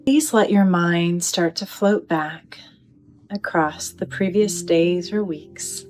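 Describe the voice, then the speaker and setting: A woman leading a guided meditation in a gentle, soothing manner, with deliberate phrasing and pauses. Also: fairly low pitch, steady tone, faint music behind.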